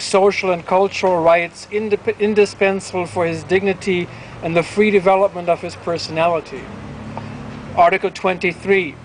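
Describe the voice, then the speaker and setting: A man with a high-pitched voice reads a formal document aloud at a public gathering. He is outdoors, with faint street and traffic noise behind him. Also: low-quality recording. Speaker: public reader at a rally. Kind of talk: reading a declaration aloud. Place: outdoor town plaza.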